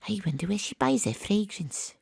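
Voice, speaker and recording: A woman's voice: mid-range voice, sing-song, normal speed, vocal fry, a little mellow, breathy edge, dry recording, clean studio sound, full character voice. An actress with a Welsh accent playing a wry, sporty amateur detective who narrates her own story with self-mocking humour. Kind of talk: first-person radio drama narration. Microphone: home studio mic.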